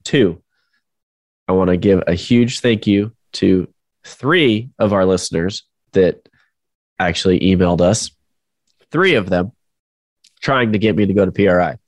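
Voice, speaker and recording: Male co-host, very low voice, congested from a cold, jokey and rambling; dry close-mic sound. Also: unhurried, pauses often, dry recording. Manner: joking, rambling, self-deprecating. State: stuffed up with a cold. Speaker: podcast co-host. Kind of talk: casual podcast chat.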